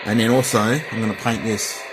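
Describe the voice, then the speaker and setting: A man giving a casual, rambling, unscripted show-and-tell as a hobbyist, halting as he goes, with a slightly husky voice. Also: on the low side, fairly even intonation, over music, poor audio. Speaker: hobbyist presenter showing a restored CB radio.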